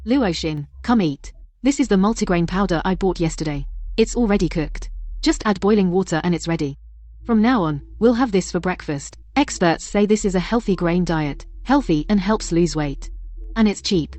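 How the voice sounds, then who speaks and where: Older mother-in-law role for an actress: lower register, self-pitying, crafty, coaxing, family drama. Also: expressive, moderate pace, darkish tone, close to an everyday voice. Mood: persuasive, frugal. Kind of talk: dubbed family melodrama acting.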